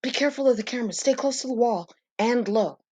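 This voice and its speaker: A woman acts out an urgent young psychic heroine in an audio drama. Her voice is raised in pitch and commanding, that of someone leading others out of danger. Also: quick pace, darkish tone, slightly rough. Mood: urgent, guarded.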